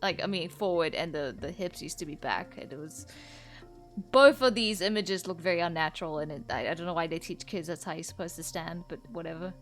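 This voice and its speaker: A woman's voice: on the low side, brisk. A young woman giving casual let's-play commentary, rambling informally and musing aloud as she plays. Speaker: gaming video creator. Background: game music.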